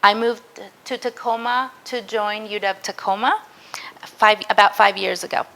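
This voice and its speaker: Bright-voiced woman teaching a workshop. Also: mid-range voice, steady tone, normal speed, creaky, poor audio. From Mexico. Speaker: university professor. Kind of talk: interactive workshop presentation.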